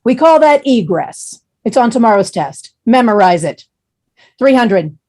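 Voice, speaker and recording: A woman's voice: on the high side, sing-song, a little slow, darkish tone. A woman playing a brutal, menacing, foul-mouthed warehouse trainer in a screenplay table read. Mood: barking, impatient. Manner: menacing drill-sergeant bluntness.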